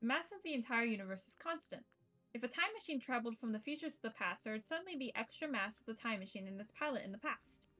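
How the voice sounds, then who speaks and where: A woman's voice: fairly high pitch, lively intonation, quick pace, bright, forceful tone. A young woman streaming a visual novel, reading its lines aloud and adding self-deprecating comments in between.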